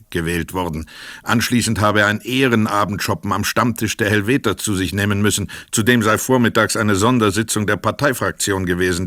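An actor narrating a crime story in a radio play in a measured, precise manner. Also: male voice, low-pitched, a bit bright, no echo, studio quality.

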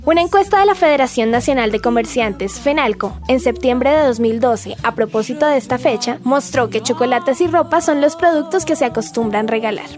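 A woman's voice: high-pitched, lively intonation, brisk, hardly any pauses, darkish tone. A woman with a Colombian accent delivering scripted narration as presenter of a radio magazine show. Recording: music bed behind the voice.

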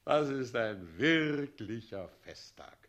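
An actor playing a pompous, husky-voiced tyrant president and gloating bridegroom in a radio play, who both wheedles and commands. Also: male voice, mid-range voice, animated, slow pace, fairly bright tone. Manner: pompous, gloating, wheedling, then barking orders.

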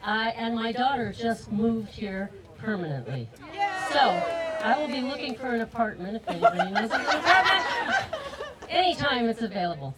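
An older woman from the United States with an American accent, speaking chattily at an outdoor street rally through a PA. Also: on the high side. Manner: chatty, laughing between lines. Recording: outdoor rally PA, crowd behind.

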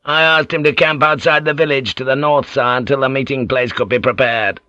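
A man's voice: medium pitch, fairly even intonation, fairly quick, pushed and bright, no room sound, pitched above the performer's own voice, natural voice. Stiff humorless samurai, formal report, dull sound, read by a man.

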